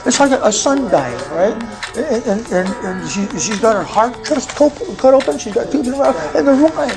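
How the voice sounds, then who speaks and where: A man's voice: extremely high pitch, animated, moderate pace, rough recording. A man giving a rambling, impassioned personal account, stammering and repeating words in an agitated, insistent way. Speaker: amateur filmmaker telling his own case. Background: music.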